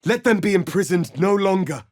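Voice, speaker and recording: A man playing a proud, solemn alien warrior prince in a fantasy video game, speaking in a formal, commanding, deliberate way. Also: sits high, lively intonation, a little mellow, dry recording, clean studio sound. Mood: fierce, rousing. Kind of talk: dramatic fantasy game acting.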